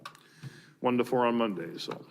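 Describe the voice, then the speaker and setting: An American man giving an informal meeting report in a halting way, with frequent fillers. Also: mid-range voice. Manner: halting, filler-heavy delivery. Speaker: mayor giving board updates at a council meeting. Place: large council chamber, indoors. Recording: clear background room noise from meeting chamber.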